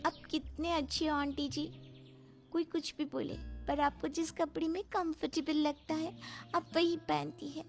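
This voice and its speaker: A woman's voice: sits high, level tone, unhurried, gravelly, faint music behind. A woman voicing a showy young modern socialite in a cartoon, with a gushing, dramatic manner. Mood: grateful, confiding.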